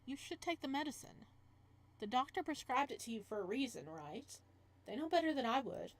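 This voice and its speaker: A woman's voice: sits high, very animated, brisk. A woman reading as the weary, practical male protagonist of a visual novel, frank and reasoning in manner. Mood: frank, advising.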